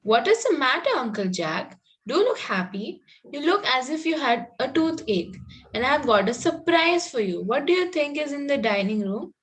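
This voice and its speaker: A woman's voice: high-pitched, big pitch swings, normal speed, rough recording. A woman playing a sweet, eager, coaxing young Victorian girl in a play read-through. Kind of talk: amateur play table read.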